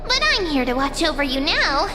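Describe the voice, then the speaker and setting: A woman voicing a devoted, bright young girl companion in a fantasy game, with a light, youthful voice. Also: sits high, very animated, a little fast, heavy background noise. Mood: caring, earnest. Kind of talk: animated video game voice acting. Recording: long reverb, music behind. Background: music.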